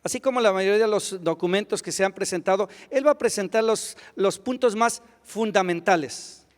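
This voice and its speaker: Man, high voice, quick hesitant interpreting, echoing hall. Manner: quick, with hesitant fillers and restarts. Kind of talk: consecutive interpretation of a lecture. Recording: long hall reverb.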